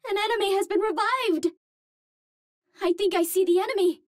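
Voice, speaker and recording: An actress playing a cute, earnest young Martian heroine in a video game, speaking politely in precise, formal phrases. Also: female voice, very high-pitched, normal speed. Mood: alert, urgent. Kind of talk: video game character voice lines.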